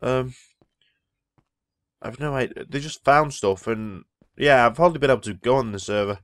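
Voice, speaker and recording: A man's voice: medium pitch, normal speed, fairly bright tone. A man who is ill, rambling casually through gameplay commentary and easily impressed by what he sees. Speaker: Minecraft let's player.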